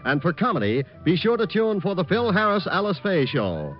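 A man's voice: high-pitched, big pitch swings, moderate pace. A man announcing in polished, declamatory mid-century radio style. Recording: muffled old broadcast recording. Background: music.

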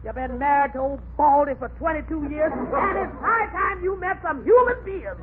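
A woman playing a bossy, sharp-tongued older mother-in-law in old radio comedy, with emphatic delivery. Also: high-pitched, expressive, normal speed. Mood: commanding. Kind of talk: 1940s radio comedy acting. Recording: noisy, tinny old radio recording.

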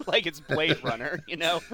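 A man's voice: a little high, a little slow, sharp tone. A man co-hosting a podcast, casually discussing films and games. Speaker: podcast co-host. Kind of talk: casual film and game discussion.

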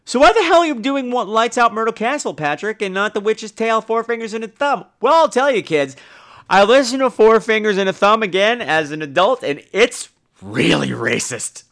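A man's voice: high voice, lively intonation, normal speed, a bit bright. A man hosting a podcast in a casual comic monologue, theatrical and profane, often interrupting himself. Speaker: podcast host.

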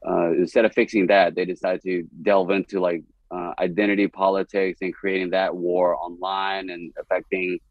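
Low-voiced man, dry and muffled. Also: moderate pace, hardly any pauses, no room sound. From East Dallas, Texas. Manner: animated, rambling, laughs often. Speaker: comedian guest. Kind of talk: candid podcast conversation. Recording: dull, dry podcast audio.

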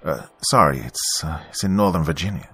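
A man's voice: rumbling low, lively intonation, a little fast, pushed and bright, breathy edge, heavily acted. A man voicing a shy, awkwardly polite male technician in an audio story, hesitant and stammering. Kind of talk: audio story character dialogue.

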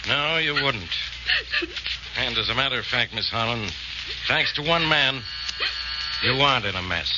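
An actor playing a brusque, hard-bitten police inspector in a 1940s radio drama, gruff and commanding as he interrogates. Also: medium pitch, animated, normal speed, long pauses, close to an everyday voice. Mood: controlled, firm. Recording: noisy muffled old radio broadcast.

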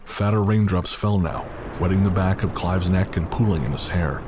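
A man narrating an audio drama in a measured, somber way. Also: low voice, noisy background. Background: music.

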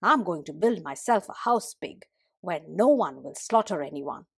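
Woman playing a bold storybook sheep, restless, earnest, urging, lively intonation, husky edge. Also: lowish voice, normal speed, no room sound, clean studio sound, voice pushed down, exaggerated voice. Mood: warning, urging.

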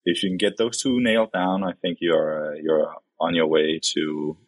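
A man in his late twenties with a Danish accent, chatting casually and haltingly as a modest interview guest. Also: sits low, level tone, dry recording. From Denmark, grew up in Copenhagen. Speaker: podcast guest, company vice president.

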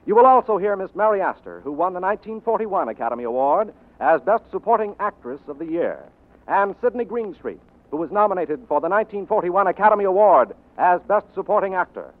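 A man's voice: high-pitched, lively intonation, a little fast. A man announcing a 1940s radio show in a grand, formal, carefully enunciated style. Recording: narrow vintage radio recording with music.

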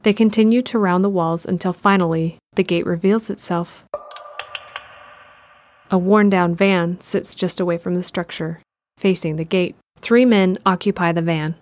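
A woman's voice: lowish voice, steady tone, moderate pace, a little mellow, slight background noise. A woman reading scripted narration for an audio drama.

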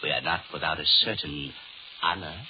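A man's voice: a bass voice, a little fast. A man playing a suave, sinister Devil in a 1930s radio horror drama, formal and courtly, mocking and commanding. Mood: coaxing, menacing. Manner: formal, courtly, menacing, theatrical. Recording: muffled, noisy 1930s radio recording.

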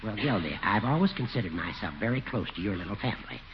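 An actor playing a formal, ingratiating small-town judge in a 1940s radio comedy. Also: male voice, fairly low pitch, animated, quick pace, close to an everyday voice. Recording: muffled, noisy 1940s radio recording.

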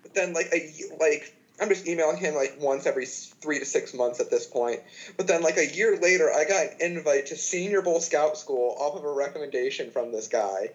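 A man's voice: a little high, a little fast, dull audio. A man in his twenties, a podcast guest, talking casually with lots of fillers and false starts. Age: 20s. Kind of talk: casual chat.

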